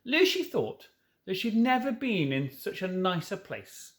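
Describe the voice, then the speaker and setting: A man's voice: sits high, very animated, unhurried. A man reading a children's novel aloud, stumbling now and then and correcting himself, with informal asides. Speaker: storybook reader.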